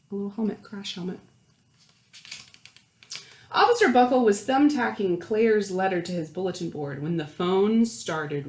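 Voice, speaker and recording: A woman reading a picture book aloud to young children, expressively, pausing for chatty explanations and questions. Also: medium pitch, echoey room. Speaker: children's storytime reader.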